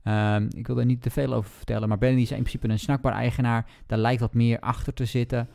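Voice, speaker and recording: A man chatting casually about books as a podcast host, speaking haltingly and hedging as he thinks aloud. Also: mid-range voice, little pitch movement, gravelly, a little mellow, dry recording, studio quality. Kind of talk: casual book discussion.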